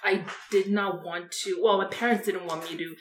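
A young woman from Korea with a Korean accent, a podcast guest talking casually in a halting way with frequent laughs. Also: mid-range voice, steady tone. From South Korea. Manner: halting, laughs often, plain-spoken. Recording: echoey room with faint noise.

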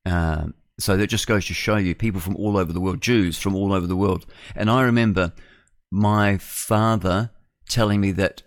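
A man's voice: sits low, steady tone, moderate pace, no room sound, clean studio sound. A man in his sixties with a New Zealand accent, delivering a rambling, conversational opinion monologue as a radio host. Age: 60s. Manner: rambling, digressive, conversational with rhetorical tag questions.

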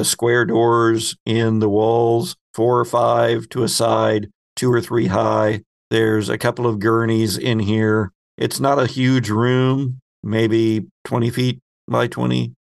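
A man hosting a tabletop horror game as game master, narrating scenes descriptively with halting pauses. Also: medium pitch, little pitch movement, gravelly.

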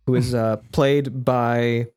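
A man's voice: medium pitch, flat delivery, slow, darkish tone, clear, resonant tone. A man co-hosting a casual film review chat, rambling along in a joking way and correcting himself as he goes. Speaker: podcast co-host.

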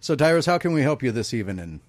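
A man's voice: medium pitch, big pitch swings, quick pace, dry recording. A man hosting a live call-in radio show from a studio, upbeat and laughing easily. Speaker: radio host.

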